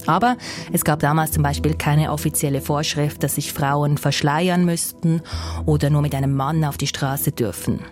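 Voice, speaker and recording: A woman hosting a news podcast, reading a scripted narration in a calm, measured way. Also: deep voice, soft background music. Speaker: podcast host.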